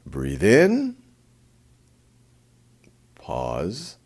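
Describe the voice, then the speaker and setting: A man leading a guided meditation in a gentle, unhurried, conversational way. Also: sits low, wildly swooping pitch, breathy edge.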